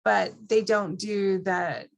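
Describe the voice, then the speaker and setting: A woman's voice: mid-range voice, steady tone, takes it slowly, gravelly. A woman instructor talking informally to a group, explaining things in an encouraging way. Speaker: class instructor.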